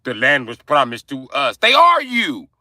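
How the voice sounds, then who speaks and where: A young adult man ranting angrily and forcefully on a livestream from inside his car. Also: mid-range voice, wildly swooping pitch, moderate pace, dry recording, studio quality. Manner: angry, forceful, profane ranting. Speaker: livestream host. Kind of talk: angry profane livestream rant.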